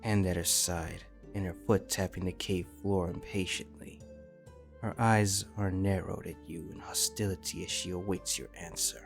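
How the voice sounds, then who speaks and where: Deep-voiced man narrating a fantasy story. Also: fairly even intonation, moderate pace. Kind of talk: scripted second-person fantasy story narration. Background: faint music.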